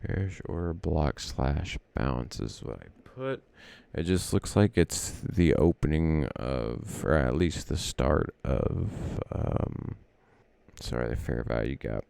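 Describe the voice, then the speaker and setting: A man's voice: very deep voice, expressive, slow pace, vocal fry, darkish tone, clear, resonant tone, no room sound. A man casually thinking aloud as he walks through trading charts, rambling and correcting himself as he goes. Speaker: trading educator.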